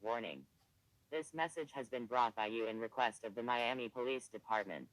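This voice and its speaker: A man's voice: mid-range voice, moderate pace, fairly bright tone, dry recording, rough recording. A synthetic male voice reading a formal, scripted emergency broadcast announcement as an alert announcer.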